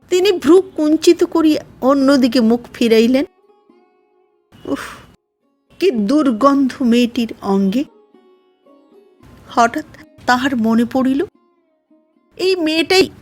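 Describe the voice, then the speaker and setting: A woman narrating a literary audio story in a measured, formal reading manner. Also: high voice, a little mellow.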